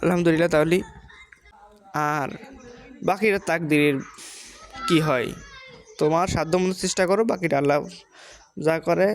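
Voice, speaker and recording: A woman's voice: low voice, moderate pace, long pauses. A woman with a Bangladeshi accent giving informal moral advice in a didactic, questioning way, repeating her points.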